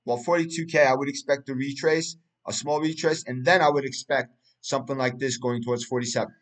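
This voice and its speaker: A man's voice: medium pitch, fairly even intonation, a little fast. A man, a Turkish speaker, talking through a price chart in casual analysis, rambling with many fillers and restarts. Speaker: crypto market analyst.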